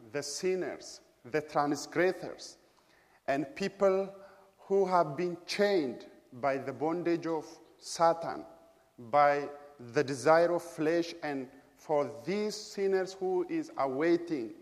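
A man from Ethiopia whose first language is Amharic, preaching a sermon as a guest preacher in a church, speaking with an Ethiopian accent in a measured, halting way with frequent pauses. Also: a little high, long reverb tail. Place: church sanctuary.

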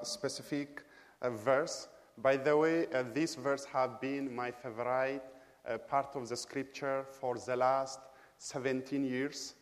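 Ethiopian male guest preacher, mid pitch, measured and halting, reverberant church sanctuary. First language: Amharic. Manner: measured, halting with frequent pauses and fillers. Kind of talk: sermon.

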